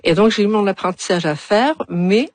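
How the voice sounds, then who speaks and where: A woman's voice: mid-range voice, animated, normal speed, a little mellow, no echo. A middle-aged woman from Algeria, an urban planner answering interview questions, often restarting and repeating words as she searches for them.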